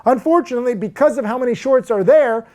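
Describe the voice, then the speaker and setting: A man's voice: very high-pitched, fast. Brash, emphatic man talking trading. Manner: brash, emphatic, gets loud and worked up; crude jokes, fillers like "um" and "like". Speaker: stock trading educator. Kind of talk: casual to-camera talk.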